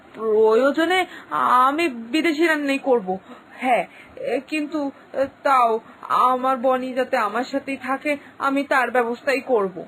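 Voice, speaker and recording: A woman's voice: sits high, a bit bright, slight background noise, low-quality recording. A woman voicing a fretful village mother. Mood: anxious. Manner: fretful, pleading, slows down when worried. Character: anxious village mother, middle-aged woman. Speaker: mother awaiting daughter. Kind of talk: cartoon voice acting.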